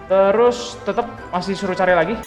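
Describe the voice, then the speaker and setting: A young man streaming a video game, giving chatty, excitable commentary. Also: high voice, fast, roomy sound, in a large hall, over music. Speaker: gaming streamer. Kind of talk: casual let's-play commentary.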